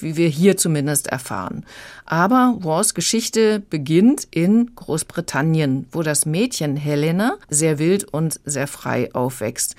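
A woman's voice: low voice, no echo. A woman speaking as a radio critic in a conversational book review, close to a studio microphone. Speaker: audiobook critic on a radio show.

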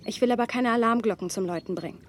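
A woman's voice: fairly high pitch, racing, slight background noise, close to an everyday voice. An actress playing a candid, sensitive, bookish woman talking with a close friend in a radio drama. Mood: ashamed, earnest.

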